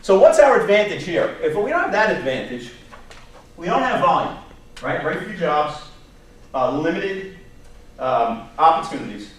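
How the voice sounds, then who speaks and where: A man from Long Island with a Brooklyn New York accent, giving an informal talk to a staff meeting in an animated storytelling way. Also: a little high, moderate pace, pauses often. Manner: animated storytelling, acts out quotes, rhetorical questions, chuckles. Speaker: presenter, job search instructor. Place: indoor meeting room, recorded from a distance. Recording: echoey room from far off, background noise.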